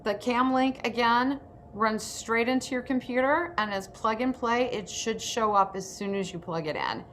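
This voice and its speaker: A woman's voice: fairly high pitch, moderate pace, fairly bright tone, slight background noise. A woman hosting an informal online program, explaining gear in plain conversational language. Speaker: host. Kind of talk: informal presenting to an audience of actors.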